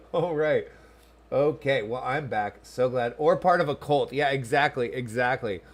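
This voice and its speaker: American man, game streamer, medium pitch, casual, chatty, rambling, self-deprecating, answering chat. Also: unhurried. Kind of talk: casual livestream chat over gameplay. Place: small room at home.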